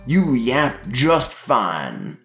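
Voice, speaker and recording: A man voicing an angry, abusive middle-aged father who coaxes and then shouts. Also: mid-range voice, huge pitch swings, extremely slow, gravelly, pitched above the performer's own voice, exaggerated voice. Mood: menacing. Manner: shouting, coaxing then cruel. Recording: echoey room, faint music.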